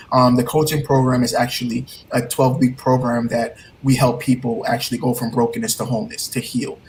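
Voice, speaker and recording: A man's voice: medium pitch, a little hiss or hum, poor audio. A man with a Trinidadian accent, a heartbreak coach speaking as a guest in an earnest, motivational way, often repeating his key points. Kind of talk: casual interview talk.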